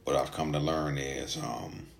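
A man who stutters, speaking casually in a halting personal monologue with frequent gaps and hesitations, as a podcast host. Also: a bass voice, steady tone, vocal fry.

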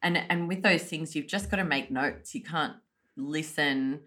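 A woman in her thirties with a notably low voice co-hosts a podcast in easy, casual conversation. She jokes around and laughs often. Her delivery stays lively and relaxed. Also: a little slow. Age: 30s. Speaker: podcast co-host. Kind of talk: casual chat.

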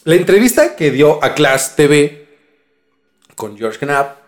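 Higher-voiced Mexican man over music. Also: big pitch swings, slow. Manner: informal, slangy. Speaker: podcast host. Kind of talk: casual conversational storytelling.

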